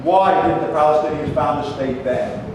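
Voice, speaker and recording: A man's voice: on the high side, moderate pace, lightly acted. A man playing an aggrieved, combative older Israeli debater in a staged play reading, arguing with emphatic indignation. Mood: argumentative. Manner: argumentative, indignant, emphatic declamation. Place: live staged reading in a reverberant room. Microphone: distant microphone. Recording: echoey hall from far off, noisy.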